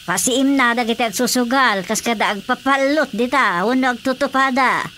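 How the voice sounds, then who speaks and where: A woman's voice: on the high side, moderate pace, sharp tone, slight background noise. An actress with an Ilocano accent playing a worried, gossiping village mother in a radio drama. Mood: exasperated.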